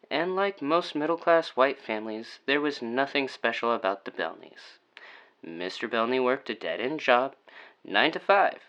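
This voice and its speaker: A man's voice: mid-range voice, sharp tone, lo-fi sound. A man playing a brooding, sardonic storyteller in an audio drama, narrating in a wordy, formal, theatrical way. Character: brooding, sardonic male storyteller of a dark tale.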